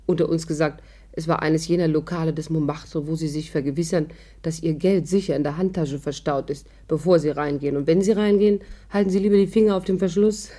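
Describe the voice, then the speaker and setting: A woman's voice: low voice, warm tone. An actress playing a witty, persuasive worldly woman with a playful, wry manner, in a 1950s radio drama. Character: witty, persuasive, worldly female adventurer telling her story. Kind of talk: 1950s German radio drama acting and narration.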